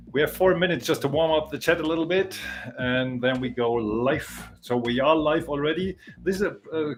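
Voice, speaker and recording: A man hosts a casual live stream. His mid-pitched voice moves haltingly, with repeated words, over faint music. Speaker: live show host.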